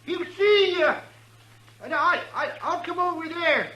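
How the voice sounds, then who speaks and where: An actor playing a shaky, stubborn old man in a 1950s radio western, speaking in a halting, folksy, stammering way. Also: very high voice, bright, forceful tone, dull audio, exaggerated voice. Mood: shaky, excited. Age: older. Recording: old radio recording with faint noise.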